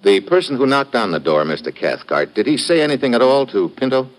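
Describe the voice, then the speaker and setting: An actor playing a tough American special agent in a 1950s radio detective drama, speaking in a clipped, matter-of-fact way. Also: male voice, medium pitch, very animated, brisk. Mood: urgent, questioning. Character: tough American special agent, hard-boiled, narrating his case. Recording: muffled old radio recording, music behind.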